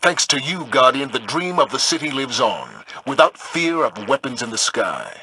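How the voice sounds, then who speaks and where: An actor playing a grave, steadfast military commander in a video game, speaking in a solemn, measured, authoritative way. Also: male voice, medium pitch, very animated, background noise. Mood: resolute, commanding. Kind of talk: scripted video game voice acting.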